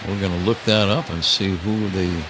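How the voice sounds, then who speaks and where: A man's voice: sits low, steady tone, moderate pace. An older man doing live radio play-by-play of baseball, breaking into casual banter, from a stadium broadcast booth. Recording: clear ambient ballpark noise behind.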